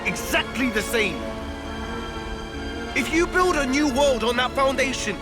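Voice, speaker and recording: High-pitched young British hero, earnest, blunt, unhurried, impassioned, video game drama, loud music behind. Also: male voice, expressive. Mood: indignant. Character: earnest, hot-blooded young man pleading for lives.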